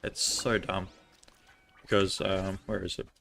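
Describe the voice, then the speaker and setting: A man streams a video game with casual, off-the-cuff commentary. His voice is fairly low but bright and punchy, and he thinks aloud informally. Game music plays faintly behind him. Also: flat delivery, unhurried, creaky. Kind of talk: casual live gameplay commentary.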